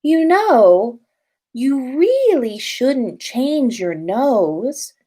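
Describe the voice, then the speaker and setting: A woman voicing a dreamy, odd teenage witch girl in a storybook reading, unhurried and unbothered. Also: high-pitched, sing-song, warm tone, husky, voice pushed up, heavily acted. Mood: dreamy, serene. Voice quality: dreamy, airy.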